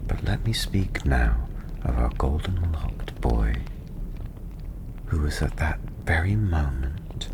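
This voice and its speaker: A softly spoken, half-whispering storyteller narrating a fantasy bedtime tale in a soothing, unhurried ASMR style. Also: rumbling low. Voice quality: soft, breathy, half-whispered. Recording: constant ambient noise behind the voice. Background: ambience, crackling tavern fire.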